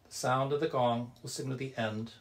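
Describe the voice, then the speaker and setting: A man leading a Buddhist temple service, reciting and guiding meditation in a measured, solemn, deliberate way. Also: medium pitch, fairly even intonation. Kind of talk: reverent recitation and guided meditation.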